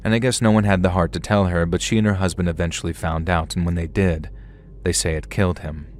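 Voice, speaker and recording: Man, very low voice, horror storyteller, conversational, faint background hum. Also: fairly even intonation, quick pace, darkish tone. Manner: conversational storyteller, casual asides. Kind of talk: first-person horror story narration.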